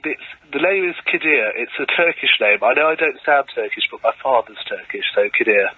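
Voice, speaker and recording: A man's voice: mid-range voice, animated, fairly quick, husky, no echo. A young man calling in to a radio show, sick and losing his voice, telling a long roundabout story. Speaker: caller. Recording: dull phone line.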